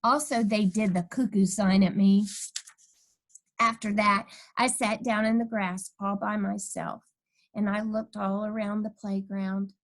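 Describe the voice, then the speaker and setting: A woman's voice: mid-range voice, steady tone, unhurried, lightly acted. A woman voicing a cheeky, stubborn kindergarten girl who tells her own story with childish, emphatic, dramatic delivery. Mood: indignant, confiding. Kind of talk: first-person children's storybook reading.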